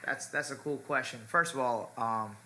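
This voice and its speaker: Young man, from ecuador, relaxed storyteller, bright clear tone, casual podcast guest. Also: mid-range voice, moderate pace, a little hiss or hum. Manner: relaxed, laid-back storytelling with many fillers. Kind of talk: casual interview chat.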